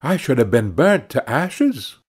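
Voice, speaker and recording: Storybook hot coal, played by a man: bold, impetuous, dramatic, with swooping pitch and a husky edge. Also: big pitch swings, unhurried, darkish tone. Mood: dramatic relief.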